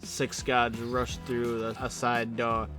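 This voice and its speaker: A man's voice: mid-range voice, completely flat delivery, slow. A man narrating scripted superhero action dramatically as the narrator of an audio drama. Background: music.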